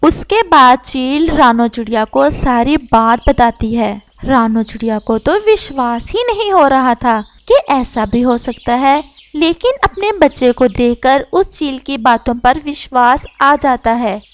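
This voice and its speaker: A woman's voice: sits high, animated, a little slow, few pauses, no echo. A woman reading scripted narration for a children's story as the narrator.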